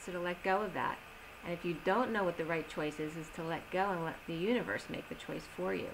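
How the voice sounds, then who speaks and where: A woman giving a tarot reading in a slow, soothing manner, slightly strained as she is losing her voice. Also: on the low side, background noise. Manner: slow, soothing reading of oracle cards with gentle pauses. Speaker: tarot reader. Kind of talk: solo tarot reading video.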